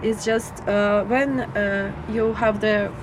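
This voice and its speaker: A woman's voice: medium pitch, steady tone, slow, background noise. A young adult woman whose native language is Russian, chatting as an interview guest, hesitant with many fillers and restarts. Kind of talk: casual interview chat.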